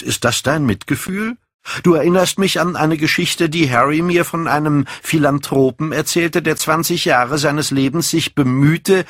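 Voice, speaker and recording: A man's voice: medium pitch, a bit bright, no room sound, clean studio sound, voice pushed up. A man voicing a vain, callous young aesthete in a haughty, declamatory audiobook style. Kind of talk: dramatic audiobook character reading.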